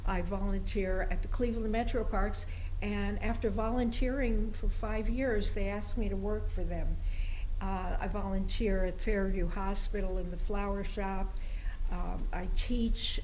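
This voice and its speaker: An older woman whose first language is Hungarian, answering interview questions in an unhurried, storytelling way with frequent pauses and fillers. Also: mid-range voice, a little mellow, slight background noise, rough recording. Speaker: guest, retired language teacher.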